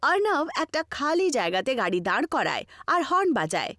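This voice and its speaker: A woman's voice: high-pitched, sing-song, normal speed, a bit bright, no room sound, clean studio sound. A woman reading scripted narration for a horror story as its storyteller.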